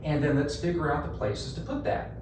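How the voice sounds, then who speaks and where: A middle-aged man with a North Carolina Southern accent talks in a folksy, animated way, spinning stories with a drawl. He sits some distance from the mic, so his voice rings around a very echoey room. A clear layer of background noise runs under him. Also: on the high side, fairly quick. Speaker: marketing agency co-founder, guest. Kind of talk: conversational podcast interview. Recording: roomy echo, distant mic, noisy.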